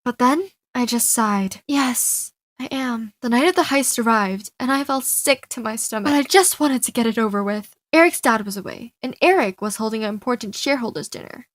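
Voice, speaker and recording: A woman voicing a feisty, lovestruck young con artist in an animated story fan dub, with theatrical, expressive delivery. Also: on the high side, brisk, hardly any pauses, dry recording, natural voice. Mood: confessional, suspenseful. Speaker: amateur fan dubber. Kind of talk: animated story fan dub, first-person narration with dialogue.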